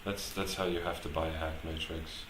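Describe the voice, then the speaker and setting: A man chatting casually on a live stream while he works at a computer, halting as he thinks out loud. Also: a bass voice, little pitch movement. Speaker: live streamer, software developer. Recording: echoey room with clear background noise.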